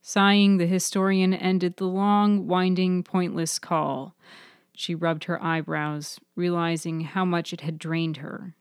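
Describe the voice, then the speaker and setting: A woman reading scripted literary fiction for a podcast, with measured, deliberate phrasing. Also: on the low side, little pitch movement, clear, resonant tone, dry recording, studio quality. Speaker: writer-narrator of fiction podcast.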